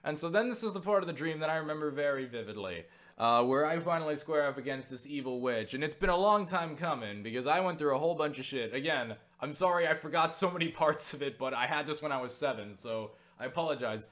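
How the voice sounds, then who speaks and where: Young dude, kinda high voice, hyped up and cursing a lot while telling a story to the camera. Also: male voice, fast talker. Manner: excitable, swears freely, lots of fillers and laughs. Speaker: YouTuber answering viewer questions. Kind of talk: animated casual storytelling.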